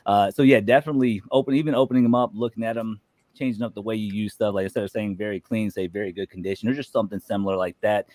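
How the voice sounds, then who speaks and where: Low-voiced, easygoing man chatting, close and dry. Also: steady tone, fast talker, a little mellow, no echo. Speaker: podcast guest. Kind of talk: casual chat.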